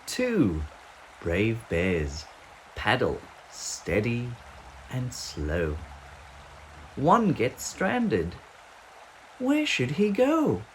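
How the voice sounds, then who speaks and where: A man narrates a picture book for young children at an extremely unhurried pace. His delivery is expressive and sing-song, swooping widely between low and high notes with deliberate phrasing. Faint ambient noise and effects sit behind his medium-pitched voice. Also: rough recording.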